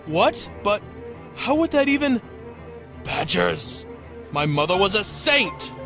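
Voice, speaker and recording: A man's voice: high voice, very animated, slow, gravelly, heavily acted. A man playing a whiny, prissy young lawyer in a comedic cartoon parody, prim and easily indignant. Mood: indignant, flustered. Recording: music behind the voice.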